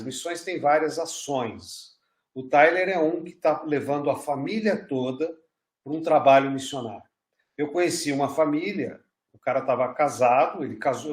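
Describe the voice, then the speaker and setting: A man's voice: a little high, roomy sound. A man, a pastor teaching a church class in a conversational, storytelling way with frequent restarts.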